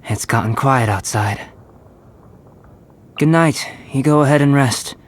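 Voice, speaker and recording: A man playing a calm, curious young alchemist in a video game, speaking in a measured, formal, thoughtful way. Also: medium pitch, natural voice. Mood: composed, polite. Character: calm, curious young male alchemist scholar, reserved and polite. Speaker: game character. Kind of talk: scripted video game voice lines. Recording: background music under the voice. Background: music.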